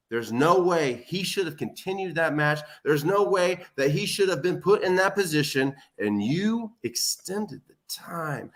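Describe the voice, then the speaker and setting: A man speaking as a guest wrestling manager in a loud, scolding rant. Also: on the high side. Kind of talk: heated promo-style rant.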